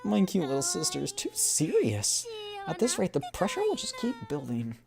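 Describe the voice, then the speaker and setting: A woman's voice: fairly low pitch, moderate pace, creaky, a crowd in the background, pitched above the performer's own voice, full character voice. A woman voicing a bubbly female android, a playful, clingy older sister who teases and coaxes in a game story. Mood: teasing. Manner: playful, animated, coaxing.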